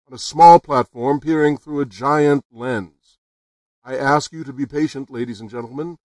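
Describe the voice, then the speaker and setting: A man's voice: medium pitch, no echo, far from the mic, close to an everyday voice. A man acts out an earnest radio news reporter in an old-time radio drama. He announces formally, as if live on location. The sound is dry and a little away from the microphone. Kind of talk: 1930s radio drama acting, live broadcast commentary.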